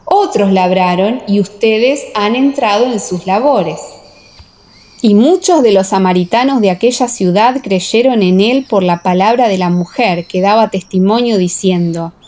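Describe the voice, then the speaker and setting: A woman's voice: mid-range voice, a little slow, darkish tone. A woman reading scripture as the narrator of a dramatized audiobook.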